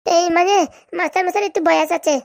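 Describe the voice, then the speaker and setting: Young village matchmaker guy, cartoon, squeaky high, fast chatty patter. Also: steady tone, vocal fry. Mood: persuasive. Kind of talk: comic cartoon dialogue.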